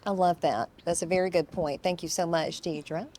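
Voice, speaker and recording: A woman's voice: fairly low pitch, steady tone, normal speed, a little mellow. A woman moderating a panel, enthusiastic and full of praise for the speakers. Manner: gushing, enthusiastic.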